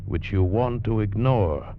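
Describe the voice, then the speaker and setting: A man's voice: lowish voice, a little slow, a little hiss or hum. A man reading a dramatic 1940s radio teaser in a grave, portentous manner. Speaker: radio announcer reading next week's teaser. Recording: muffled old radio recording with music.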